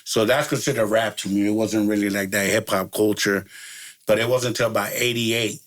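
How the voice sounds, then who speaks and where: A man's voice: lowish voice, steady tone, a little fast, a bit bright. A middle-aged man from El Salvador, a DJ guest chatting casually in laid-back street slang, laughing often and saying "you know" a lot. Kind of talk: casual interview chat.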